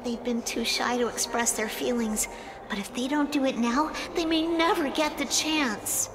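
Woman voicing sweet high-pitched young hedgehog girl. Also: normal speed, close to an everyday voice. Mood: earnest, tender. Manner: earnest, gentle, expressive. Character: sweet, caring, earnest young female cartoon hedgehog. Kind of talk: video game cutscene acting. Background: loud game music.

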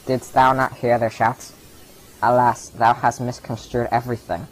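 A man's voice: medium pitch, little pitch movement, normal speed, close to an everyday voice, rough recording. A man playing a loyal, grieving Roman officer in a declamatory radio Shakespeare drama. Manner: declamatory verse delivery. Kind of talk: old-time radio Shakespeare acting.

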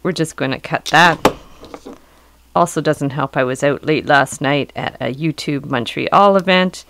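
Hoarse middle-aged woman teaching a craft. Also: deep voice, unhurried, no echo. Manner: calm, patient, explanatory. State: hoarse from a cold. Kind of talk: step-by-step craft instruction. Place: indoors at a work table.

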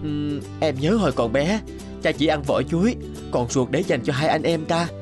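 An actor playing a humble, dutiful young peasant son in an animated folk tale, mild and sincere, with music behind. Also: male voice, a little high, normal speed, close to an everyday voice. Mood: earnest, polite.

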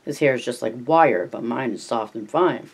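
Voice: mid-range voice, lively intonation, normal speed, slightly rough. Reader voicing a vain, chatty rural American ranch wife. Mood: chatty, complacent. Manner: chatty, self-satisfied, colloquial. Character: vain, talkative young ranch wife, complacent, quick-tempered.

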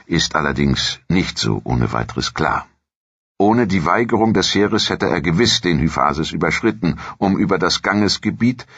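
Very deep, husky male documentary narrator. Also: fairly bright tone, no echo, muffled recording. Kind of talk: scripted historical narration.

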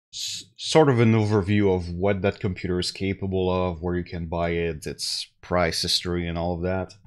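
A man in his thirties talks through a headset in a small room at home, slightly stuffed up. His voice sits fairly low and he speaks unhurriedly, pausing with fillers as he chats to his live audience. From Canada. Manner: slow, relaxed, with many fillers and restarts. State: slightly congested. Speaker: tech deals streamer. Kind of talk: casual live-stream talk to chat.

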